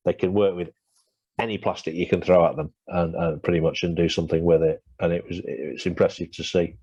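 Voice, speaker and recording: Low flat-voiced man presenting a webinar. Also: no room sound. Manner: hesitant, many fillers and restarts. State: losing his voice. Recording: dull lo-fi webinar audio.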